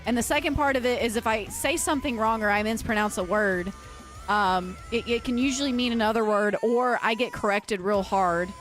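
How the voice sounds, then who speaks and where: A woman's voice: on the high side, brisk, fairly bright tone, faint music behind. A woman livestreamer chatting casually and earnestly with her viewers, rambling and often restarting her sentences. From United States. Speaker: livestreamer and metal vocalist.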